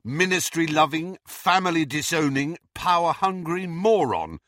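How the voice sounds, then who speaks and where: A man voicing a quick-witted, teasing young man with dry jokes. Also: on the high side, lively intonation, a bit bright, dry recording, pitched above the performer's own voice. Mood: wry. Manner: wry, teasing.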